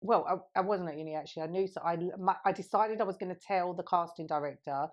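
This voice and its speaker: Chatty woman with a lower voice. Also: quick pace, highs cut off. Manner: chatty, rambling, laughs often. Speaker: podcast co-host. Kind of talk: casual chat, storytelling.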